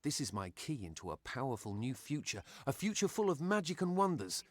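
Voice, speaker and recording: A man's voice: mid-range voice, very animated, fairly quick, a little hiss or hum, natural voice. A man voicing a naive, boastful young man in a video game, speaking in an earnest, impulsive, self-important way. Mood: boastful, eager. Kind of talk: video game character acting.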